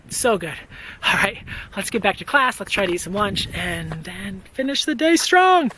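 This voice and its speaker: An American man with a bright, forceful voice talks casually, like a teacher vlogging. He is enthusiastic and leans on repetition to drive points home. There is faint ambient noise behind him. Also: high-pitched, big pitch swings, moderate pace. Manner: enthusiastic, emphatic, repeats himself for emphasis. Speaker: elementary school teacher and book reviewer. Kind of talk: casual vlog book recommendations.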